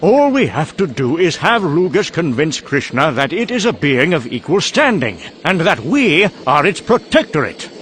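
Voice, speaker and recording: A man playing a mad, vain, rambling scientist in a motion-comic drama, excitable and pleased with himself. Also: a little high, normal speed, few pauses. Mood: manic, urgent. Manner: rambling, excitable, self-congratulating. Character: mad, vain, rambling British male scientist narrating doom.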